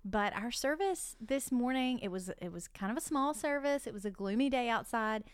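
A playful Southern woman with a slightly high voice leads a relaxed podcast chat, tossing out questions. Her talk is quick to break into laughter and stays loose and chatty. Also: clean tone. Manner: playful and giggly. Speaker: podcast co-host. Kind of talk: casual chat, asking the questions.

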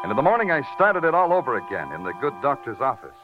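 An actor playing a world-weary police lieutenant with an American accent, in wry, hardboiled 1940s radio noir style. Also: male voice, mid-range voice, very animated, quick pace, close to an everyday voice. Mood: wry, brooding. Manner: wry, dramatic, hardboiled delivery. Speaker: radio detective lead actor. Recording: dull vintage radio recording with prominent music.

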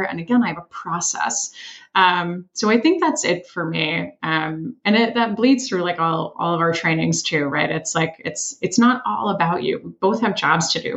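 A woman from Switzerland talks casually about sales and leadership, animated and quick to laugh. She acts out quoted lines with jumps in her pitch. Her recording sounds dull and lo-fi. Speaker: sales leader, podcast co-host. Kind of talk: casual panel chat.

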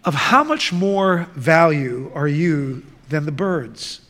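A man who grew up in the Netherlands, preaching a sermon and reading scripture in a slow, deliberate way. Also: a little high, a bit bright. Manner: slow, deliberate, measured delivery. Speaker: guest preacher. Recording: reverberant church hall.

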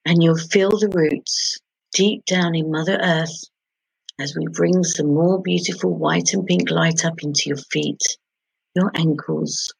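A woman leading a guided sleep meditation in a soothing, gentle, hushed manner, pausing between short phrases. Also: sits low, creaky, husky, no room sound, rough recording. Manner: soothing, hushed, gentle instruction with long pauses.

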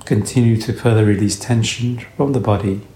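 A man leading a guided meditation, speaking in short, soothing, gentle instructions. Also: fairly low pitch, steady tone, a little fast. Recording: roomy echo, faint background noise.

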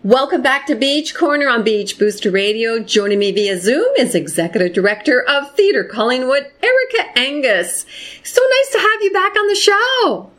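A woman's voice: sits high, lively intonation, moderate pace, a bit bright. A woman presenting and interviewing as a radio show host, reading from a script.

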